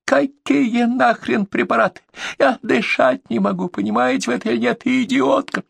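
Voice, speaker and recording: Man putting on a shrill furious woman, screaming and abusive, very high voice, gravelly edge, audiobook reading. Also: moderate pace, dry recording. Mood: shrieking. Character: furious shrieking asthmatic woman caller.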